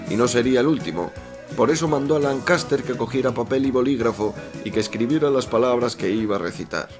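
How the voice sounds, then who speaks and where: A man's voice: mid-range voice, natural voice. A man narrating a radio soap in a florid, mock-solemn storytelling manner. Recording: music behind the voice.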